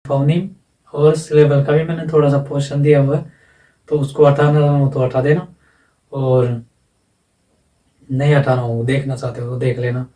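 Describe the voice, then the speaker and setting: A man's voice: medium pitch, flat delivery, moderate pace, pauses often, mellow, far from the mic. A man teaching a lesson in a casual explanatory voice-over. He explains plainly, repeats himself and asks rhetorical questions. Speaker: English teacher. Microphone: voice-over, face not shown.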